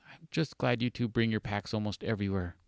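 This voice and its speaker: A man's voice: on the low side, brisk, creaky, dry recording, clean studio sound. A man voicing a skeptical, analytical young woman in a sci-fi storybook, questioning and wry. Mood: relieved.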